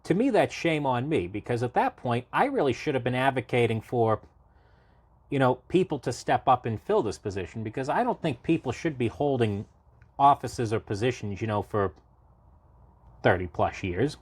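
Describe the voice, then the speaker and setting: A man's voice: medium pitch, expressive, normal speed, slightly rough, no room sound. A man in his late twenties from Massachusetts, a guest in a casual podcast interview, talking at length with many fillers and restarts. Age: 20s. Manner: talkative, rambling, frequent fillers and restarts. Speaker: podcast guest, local party committee chair.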